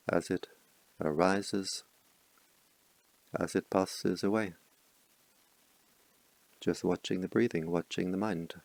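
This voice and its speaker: A man leading a guided meditation as a teacher, speaking slowly and calmly in soft, spaced-out phrases with long pauses. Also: deep voice, creaky, no room sound, low-quality recording. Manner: slow, calm, soft-spoken instructions with long pauses.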